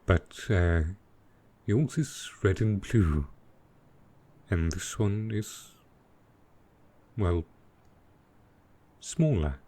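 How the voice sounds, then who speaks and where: A man plays a very low-voiced male dragon in an intimate boyfriend roleplay. He speaks slowly and tenderly, with hesitant pauses. He is affectionate and possessive, and a little lost with modern technology. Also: lively intonation, mellow, exaggerated voice. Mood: curious, puzzled. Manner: slow, tender, hesitant speech.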